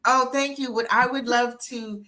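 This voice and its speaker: A woman in her sixties hosting a live-stream interview, energetic and encouraging with a motivational push. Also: on the high side, normal speed, a little hiss or hum. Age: 60s. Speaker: interviewer and book coach.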